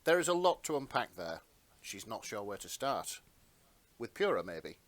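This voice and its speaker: A man's voice: lowish voice, big pitch swings, normal speed, dry recording. A man narrating fan fiction in a dramatic reading style.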